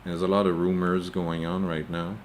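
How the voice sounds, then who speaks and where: A man's voice: low-pitched, little pitch movement, normal speed, slight background noise. A man co-hosting a casual chat over a video call, hesitant and tentative, restarting his sentences often. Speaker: co-host.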